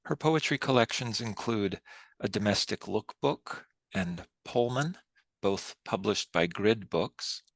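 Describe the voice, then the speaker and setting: A man hosting and moderating a literary event, giving a prepared introduction in a formal, measured way. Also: low-pitched, fairly bright tone, no room sound. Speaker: host and moderator of a poetry reading. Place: online video event.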